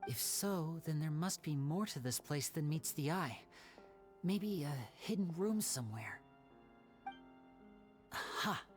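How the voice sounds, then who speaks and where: A man's voice: a little high, animated, slow pace, pauses often, soft background music. A man voicing a playful young stage magician in a game, with a theatrical, charming delivery. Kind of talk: game dialogue.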